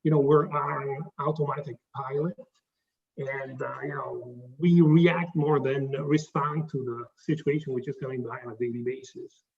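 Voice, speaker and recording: A man with an Italian accent giving a pitch presentation, talking haltingly with many fillers and restarts. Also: fairly even intonation, vocal fry, recorded from a distance, highs cut off. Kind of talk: pitch presentation to a live audience.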